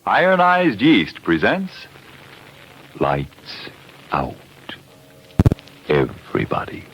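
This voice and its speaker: A man's voice: deep voice, huge pitch swings, extremely slow, background noise. A man intoning an ominous, portentous introduction to a 1940s radio horror show. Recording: muffled old radio recording with music.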